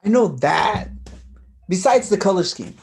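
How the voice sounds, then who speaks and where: A woman's voice: medium pitch, very animated, measured pace, a little mellow. A woman acting a mild, wistful, evasive young man in a staged play reading, in a casual, hesitant way. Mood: defensive, hopeful.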